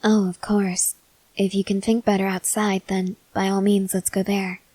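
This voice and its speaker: A woman playing a stoic, confident young woman soldier in an ASMR roleplay, speaking in a measured, serious, guarded way with hesitant pauses. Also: mid-range voice, level tone, warm tone, dry recording, lightly acted. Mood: earnest, quiet. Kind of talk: ASMR roleplay, acted scene to a listener.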